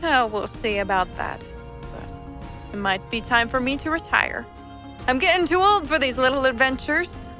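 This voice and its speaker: A woman's voice: high voice, normal speed, over music, natural voice. A woman voicing a shrewd but kind older female pirate captain, speaking in a wry, confident, teasing way, in a cartoon dub. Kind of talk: fan comic dub acting.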